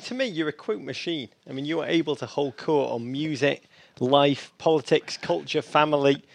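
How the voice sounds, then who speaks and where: English co-host guy, playful, slightly high gravelly voice, dry close sound. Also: moderate pace, no echo. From England. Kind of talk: casual interview chat.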